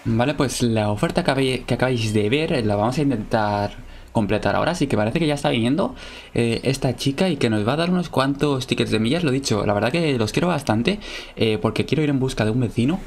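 A man's voice: medium pitch, expressive, fast talker, a little hiss or hum. A young man with a Spain Spanish accent, chatting casually to viewers over gameplay, full of fillers. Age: young adult. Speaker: gaming video creator.

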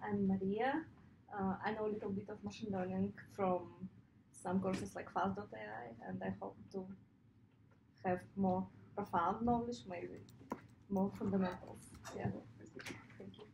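A woman's voice: mid-range voice, steady tone, measured pace, vocal fry. A young woman informally introducing herself to a club in a meeting room. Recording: dull, echoey, noisy room.

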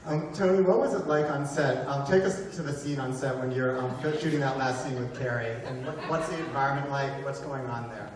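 A man's voice: mid-range voice, fairly quick, few pauses. A man moderating a cast panel on stage, asking questions in a casual, slightly hesitant way. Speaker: moderator, interviewer. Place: theater stage before an audience. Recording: very echoey, distant, crowd noise.

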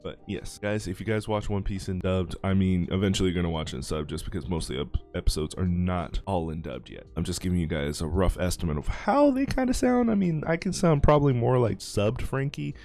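A man's voice: deep voice, animated, fairly quick, few pauses, a little mellow. A man, a YouTuber and aspiring voice actor, rambling casually while playing a game. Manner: rambling, chatty, self-deprecating. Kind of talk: casual gameplay commentary.

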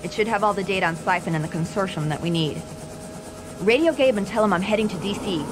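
An actress playing a composed, capable intelligence agent, measured and matter-of-fact, in a video game. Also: female voice, mid-range voice, expressive, natural voice. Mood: businesslike. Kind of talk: scripted game cutscene acting. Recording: game audio with background noise.

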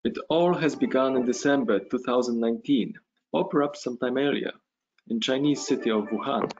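A man, a physician giving a measured conference lecture in English over a video call, pausing on fillers. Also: mid-range voice, a little mellow. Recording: dull lo-fi video call audio.

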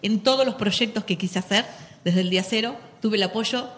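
A woman's voice: mid-range voice, normal speed, a bit bright, long reverb tail. A middle-aged Argentine woman teacher talking earnestly in a studio interview, with many fillers. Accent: Argentine Spanish. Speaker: teacher of economics, guest. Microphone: handheld mic.

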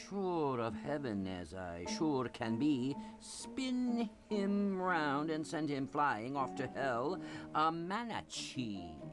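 A man playing a spiteful, seething monk in a theatrical, sneering poetry recitation. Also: mid-range voice. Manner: sneering, theatrical, sudden swells and drops. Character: spiteful, seething monk hating his fellow friar.

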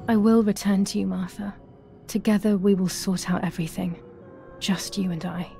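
An actress plays a troubled young woman weighed down by guilt in a psychological thriller game. Her mellow, mid-pitched voice is solemn and deliberate. Faint music sits underneath. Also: fairly even intonation, creaky, lightly acted. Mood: solemn devotion. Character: troubled young woman, haunted, guilt-ridden twin sister. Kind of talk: dramatic video game voice acting.

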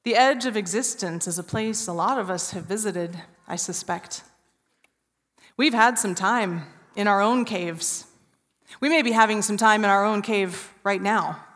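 Woman, 40s, pastor, Easter sermon, hushed storytelling pauses, long hall reverb. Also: mid-range voice. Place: large church stage.

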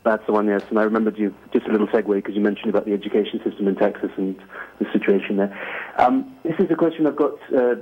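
A man's voice: on the low side, fast talker. Man calling in on a tinny phone line. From Greece. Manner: hesitant, many fillers and restarts. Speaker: caller. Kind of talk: phone-in question to a talk show.

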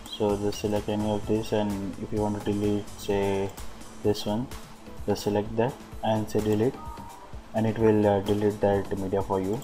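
Man demonstrating software, flat delivery over music. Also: on the low side, normal speed. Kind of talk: unscripted screen-recorded software walkthrough. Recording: music playing behind the voice.

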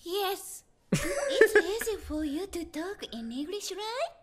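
A woman's voice: very high voice, very animated, slow pace, heavily acted. An actress voicing a cheerful young anime schoolgirl, bubbly and playful. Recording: anime clip over music.

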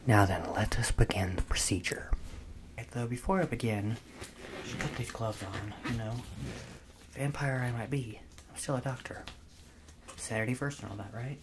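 A woman playing a possessive, soothing vampire doctor in a breathy, hypnotic whispered ASMR roleplay. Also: a bass voice, noisy background. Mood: businesslike, muttering. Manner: slow, hypnotic, softly commanding. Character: possessive, soothing female vampire doctor turning a human into her thrall.

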